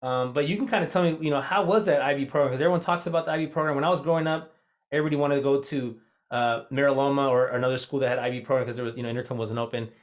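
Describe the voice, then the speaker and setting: A man's voice: medium pitch, very fast. A man hosting a casual podcast interview, a Spanish teacher whose first language was Spanish, talking in a rambling way full of fillers and restarts. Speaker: podcast host.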